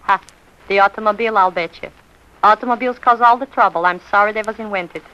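An actress playing a shrewd, soothing middle-aged mama with a New York Yiddish-inflected accent and muddled folksy grammar, in 1940s radio comedy style. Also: female voice, mid-range voice, fast talker, natural voice. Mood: wry. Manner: malapropisms and inverted, folksy grammar. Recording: muffled vintage radio recording.

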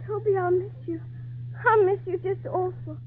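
A woman's voice: extremely high pitch, steady tone, normal speed, heavy background noise. A woman playing a sweet, devoted little girl, pleading and tearful, in an old-time radio drama. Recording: tinny phone-like vintage radio sound.

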